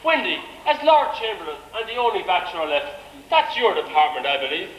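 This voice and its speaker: A man's voice: sits high, big pitch swings, brisk, fairly bright tone. An Irish man playing a jovial, blustering king in an amateur stage pantomime, teasing and theatrical. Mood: celebratory. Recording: echoey village hall stage, background noise.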